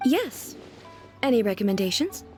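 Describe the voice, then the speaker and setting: An actress voicing a regal, curious video game ruler who speaks formally and earnestly. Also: female voice, fairly high pitch, sing-song, moderate pace, a little mellow, faint music behind. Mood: calm, reassuring. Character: regal, curious female ruler out of touch with everyday life.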